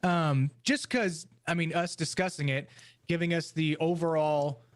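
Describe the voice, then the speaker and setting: A man's voice: on the high side, steady tone, measured pace. A man giving his thoughts casually as a panelist on a podcast. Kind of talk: casual discussion.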